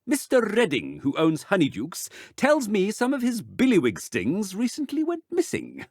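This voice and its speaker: Pompous, high-pitched British school official. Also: male voice, huge pitch swings, a little mellow, slightly rough, no echo, studio quality, heavily acted. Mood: suspicious, stern. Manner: pompous, halting, scolding. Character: fussy, stern, suspicious male authority at wizard school. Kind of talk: video game character dialogue.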